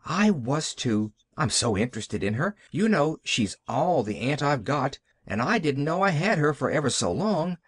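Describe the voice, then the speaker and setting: A man's voice: on the high side, very animated, normal speed, few pauses, slightly rough, dry recording, studio quality. A man voicing a glad little orphan girl who rambles breathlessly and eagerly in a storybook reading. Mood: contented, bright.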